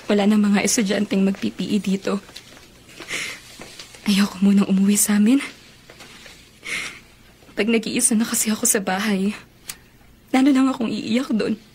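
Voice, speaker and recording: A woman's voice: medium pitch, a little mellow, a little hiss or hum, close to an everyday voice. A woman acting in a Filipino radio romance drama as a heartbroken, indignant young college student. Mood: sad, withdrawn. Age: young adult.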